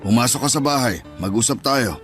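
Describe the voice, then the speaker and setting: A man's voice: mid-range voice, lively intonation, a little fast, close to an everyday voice. A man playing a stern but patient middle-aged father, admonishing and resigned, in a radio drama. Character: stern, patient, long-suffering father, blind in one eye. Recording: music underneath.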